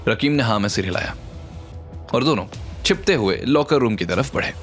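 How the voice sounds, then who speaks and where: A man's voice: mid-range voice, lively intonation, normal speed. A man narrating a scripted story in a dramatic audio series style. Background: background music.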